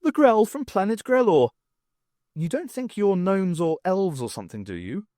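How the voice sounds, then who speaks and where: A man voicing an excitable, mocking, adventurous woman in an audiobook, with theatrical exclamations. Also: high voice, normal speed, no room sound, studio quality, voice pushed up. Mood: gleeful.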